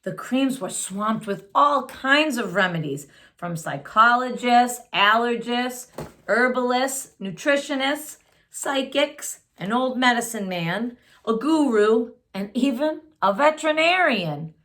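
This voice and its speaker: A woman's voice: a little high, lively intonation, slow, fairly bright tone. A woman reading a picture book aloud expressively as a children's story-time host. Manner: asks listeners questions as she reads.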